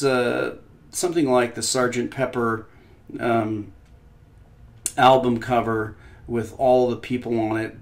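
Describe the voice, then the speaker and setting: A man hosting casual market commentary over a shared screen. He talks in a loose, conversational way, pausing often with fillers and dropping skeptical, opinionated asides. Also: medium pitch. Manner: conversational, frequent pauses and "uh" fillers. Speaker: financial commentary host.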